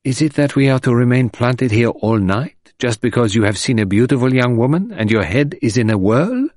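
A man acts out a middle-aged detective from a storybook. His pitch rises and dips freely as he speaks, gently ironical and emphatic, always courteous and shrewd. The sound is close and dry. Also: very animated, moderate pace, dry recording. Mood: gentle irony. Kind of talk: audiobook character voice.

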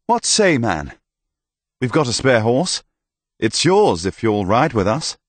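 A man voicing a boisterous, commanding older knight with a booming voice in an audiobook. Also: sing-song, slow, no room sound. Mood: hearty. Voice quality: booming, laughing barks. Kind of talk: audiobook character voice.

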